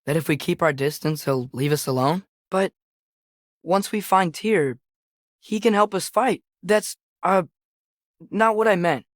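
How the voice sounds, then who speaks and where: A man's voice: high-pitched, measured pace, no echo, studio quality. A young man voicing an earnest, curious teenage boy in a video game, speaking naturally and conversationally. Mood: questioning, hopeful.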